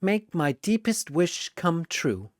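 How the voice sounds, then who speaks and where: Narrator putting on earnest little girl, slow. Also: medium pitch, big pitch swings, dry recording, clean studio sound, voice pushed up. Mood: earnest, resolute.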